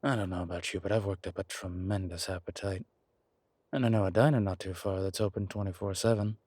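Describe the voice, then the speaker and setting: A man performing a gruff incubus investigator for an audio roleplay. The voice is deep and rough-edged, recorded close and dry. He talks in terse, commanding, everyday phrases, protective of his companion. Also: fairly even intonation, fairly quick, a little mellow, no room sound. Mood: wry, friendly. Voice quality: low, husky. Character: gruff, urgent male incubus demon investigator, protective, wryly friendly. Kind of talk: immersive audio roleplay acting.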